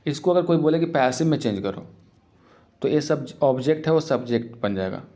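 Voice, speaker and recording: A man teaching English grammar for exams, explaining in Hindi and reading English example sentences out slowly. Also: mid-range voice, lively intonation, dark tone. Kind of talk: exam-prep grammar lesson.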